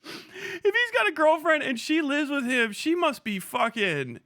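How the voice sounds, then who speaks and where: A man's voice: extremely high pitch, big pitch swings, a little slow, bright, forceful tone, dry recording, clean studio sound. A young man streaming live, commenting casually and laughing a lot while he mocks an online figure with plenty of swearing. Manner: profane, laughing often, mocking.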